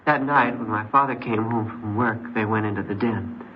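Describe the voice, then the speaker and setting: An actor playing a troubled, neglected fourteen-year-old boy who speaks haltingly, in the style of old radio crime drama. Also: fairly low pitch, close to an everyday voice. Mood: wistful, confiding. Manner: hesitant, halting. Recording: muffled, noisy old radio recording.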